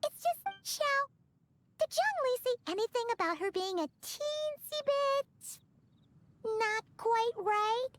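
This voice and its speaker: A woman voicing a small, chatty, excitable fairy companion in a video game, squeaky and childlike. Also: slow pace, dry recording, heavily acted. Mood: exasperated. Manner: refers to self in third person, exclaims. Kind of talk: video game character dialogue. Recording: game music behind the voice.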